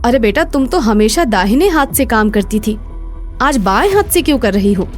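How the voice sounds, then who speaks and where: महिला कलाकार बनी कुछ ऊँची आवाज़ वाली सास. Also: female voice, fairly high pitch, very animated, normal speed, soft background music. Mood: puzzled. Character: protective, trusting village mother-in-law. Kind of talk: dramatized Hindi moral story voice acting.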